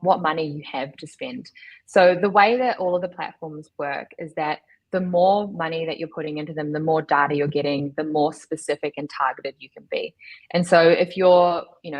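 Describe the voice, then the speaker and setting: A woman, giving measured, instructive explanations as an interview guest. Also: low-pitched, rough recording. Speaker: guest, digital agency chief executive.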